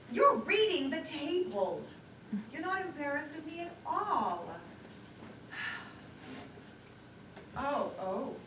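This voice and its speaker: A woman's voice: high voice, big pitch swings, very slow, long pauses, full character voice. A woman acting in a live one-act play as a nervous, self-conscious woman rambling through an awkward date. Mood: flustered, musing. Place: lakeside theater stage, recorded from afar. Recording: distant, echoey, noisy live theater recording.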